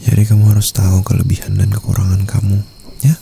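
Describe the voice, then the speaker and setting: A very gravelly, low-pitched Indonesian mafia boss , played by a man, murmurs to his lover in an ASMR roleplay. He is tender but possessive, teasing and soothing. Faint noise of a night with crickets sits behind him. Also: completely flat delivery, fast, dark tone. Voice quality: deep, gravelly. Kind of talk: ASMR roleplay, intimate boyfriend monologue.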